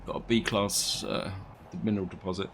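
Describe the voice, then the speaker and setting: A man casually commenting on his gameplay as he plays, thinking aloud and correcting himself, his voice slightly croaky from allergies. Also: lowish voice, steady tone, moderate pace, slight background noise. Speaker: gaming video host.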